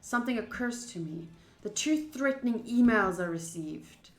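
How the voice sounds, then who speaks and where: A woman livestreaming a visual novel playthrough, switching between casual chat and expressive reading of the game text aloud. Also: fairly high pitch, normal speed, roomy sound. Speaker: streamer playing a visual novel.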